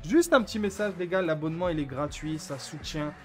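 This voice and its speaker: Guy youtuber, kinda high voice, chatting casually to his subs, bit of music in the back. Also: animated, a little fast, a little mellow. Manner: informal, conversational, addresses viewers as mates. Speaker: small YouTuber, vlogger. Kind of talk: casual vlog talk to subscribers, commenting on channel stats.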